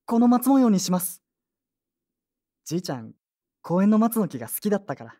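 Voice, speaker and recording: Woman acting an earnest, mellow young man. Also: mid-range voice, very animated, a little fast, lightly acted. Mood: reflective narrating. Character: earnest, polite young man, grieving grandson. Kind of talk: Japanese audio drama acting and first-person narration.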